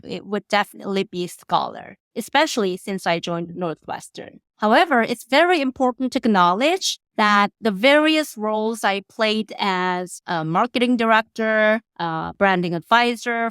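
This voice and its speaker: A woman's voice: medium pitch, smooth, dry recording. Middle-aged woman from korea, professor guest, polished formal answers, dry studio sound. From South Korea. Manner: measured, polished, formal phrasing.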